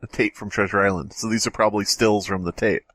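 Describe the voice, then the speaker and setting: A man's voice: lowish voice, fairly even intonation, quick pace, a bit bright, no room sound. A man co-hosting a podcast, joking casually and reading a story aloud in a playful, sardonic way. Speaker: podcast co-host.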